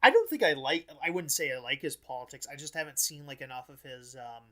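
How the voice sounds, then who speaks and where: A man hosting a podcast, chatting casually about politics in a blunt, halting way, often restarting and correcting himself. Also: medium pitch, animated, pushed and bright. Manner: halting, frequent false starts and self-corrections, blunt. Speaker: podcast host.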